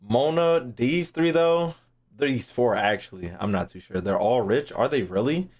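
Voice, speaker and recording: A man's voice: mid-range voice, expressive, unhurried, darkish tone. A man streaming casually, thinking aloud in a rambling way and swearing freely as he chats. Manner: rambling, foul-mouthed.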